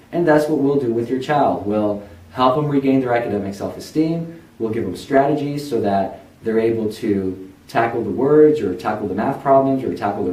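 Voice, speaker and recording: A man's voice: medium pitch, warm tone. A man who runs a learning center, earnestly telling parents his own story about school and his stutter. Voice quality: says he stutters. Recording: echoey room, a bit far from mic.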